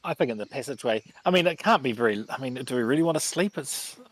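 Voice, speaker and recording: A man's voice: medium pitch, animated, fast, no room sound, rough recording. A man chatting casually as a player in a tabletop game, tentative and often searching for his words.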